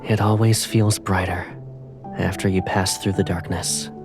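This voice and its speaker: A man playing a confident, tender young pilot in a romantic game drama, speaking softly and intimately. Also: deep voice, flat delivery, a little slow, music in the background. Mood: warm, assured.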